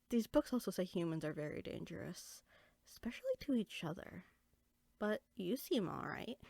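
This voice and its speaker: A woman playing a small, bookish, friendly fairy in a roleplay audio drama, speaking in a chatty, expressive, conversational way. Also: medium pitch, no room sound. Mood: chatty, thoughtful.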